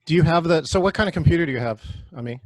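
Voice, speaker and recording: A man's voice: on the high side, expressive, racing, no room sound. A man from California leading a video call meeting, upbeat and chatty with lots of restarts. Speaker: meeting facilitator. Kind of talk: casual video call hosting.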